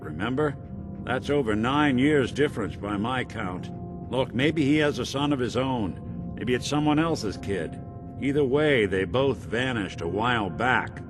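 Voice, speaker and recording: A man's voice: mid-range voice, close to an everyday voice. An actor playing a wry, streetwise middle-aged male detective in a video game, with clipped noir patter. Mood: measured caution.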